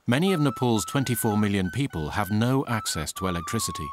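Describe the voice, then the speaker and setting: Lively low-voiced male documentary narrator. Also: quick pace, background noise. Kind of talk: scripted narration. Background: music.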